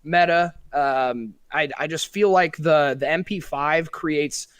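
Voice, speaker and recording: A man commentating an esports match, chatty and analytical, often pausing on fillers. His voice sits a little high, and the sound is close and dry with no room around it. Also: a bit bright, clean tone, no echo. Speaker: esports caster.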